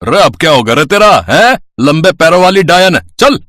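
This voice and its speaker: A man playing a gruff, taunting policeman in a cartoon story, rough and mocking. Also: fairly high pitch, lively intonation, normal speed, fairly bright tone, no room sound.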